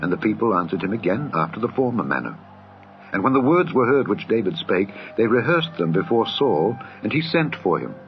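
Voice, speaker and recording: A man with a lowish voice reading an old scripture tale for children in a solemn, steady way. His archaic phrasing rolls on over clear background music. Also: a little fast, dull audio. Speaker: narrator.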